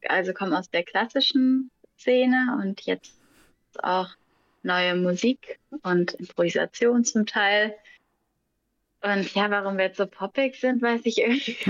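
A woman's voice: medium pitch, dry recording. Young woman singer chatting loose in an interview, laughs a lot, dull dry mic. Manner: relaxed, laughs often, searching for words. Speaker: band singer, guest. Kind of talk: casual interview talk.

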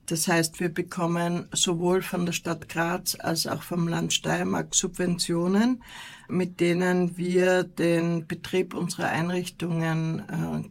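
A woman with an Austrian accent giving measured answers in an interview as a representative of a charity. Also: lowish voice.

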